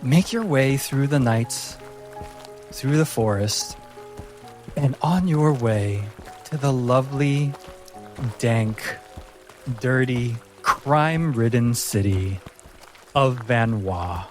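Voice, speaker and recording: A man narrating a tabletop fantasy game as game master, theatrical and deliberate. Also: medium pitch, darkish tone, faint music behind.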